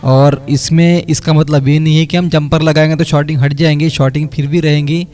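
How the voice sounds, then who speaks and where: Man, higher voice, technician talking viewers through a repair, music under. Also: flat delivery, a little fast. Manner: explanatory, step by step, addresses viewers as friends. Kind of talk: voice-over repair tutorial. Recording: quiet background music under voice-over. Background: light background music.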